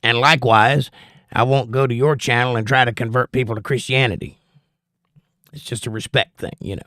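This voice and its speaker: A middle-aged man with a softened Georgia accent hosts a casual livestream, reading viewer comments aloud and answering them in a relaxed, conversational way. His voice sounds strained. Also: medium pitch, brisk, dry recording, clean studio sound. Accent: softened Southern US (Georgia). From Georgia, USA. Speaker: livestream host reading chat.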